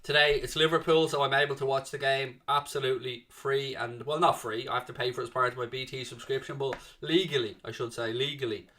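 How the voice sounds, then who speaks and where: Young South Dublin male stream host, bright, chatty, casual with the chat. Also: mid-range voice, flat delivery, quick pace, few pauses. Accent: South Dublin Irish. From Ireland. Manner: chatty, jokey, answers chat with "mate" and "man". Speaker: host of a football watchalong stream. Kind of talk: casual live-stream chat reading viewer comments.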